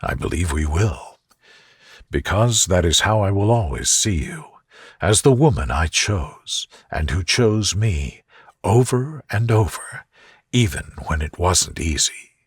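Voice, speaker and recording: A man voicing a devoted, tender gentleman in love, murmuring softly for a romantic bedtime story. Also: animated, slow pace, husky, no room sound, studio quality, full character voice. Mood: earnest, tender. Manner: low, soft murmur.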